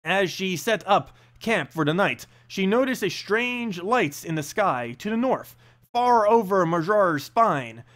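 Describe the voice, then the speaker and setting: Animated high-pitched man reading fantasy aloud. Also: unhurried. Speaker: narrator and channel host. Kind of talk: expressive storybook read-aloud of fantasy lore.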